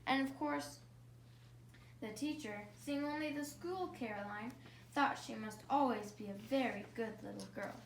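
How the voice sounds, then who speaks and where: A woman's voice: a little high, moderate pace, gravelly. A woman hosting a story time, reading a bedtime story aloud for children. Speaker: children's story reader and host. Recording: faint background noise.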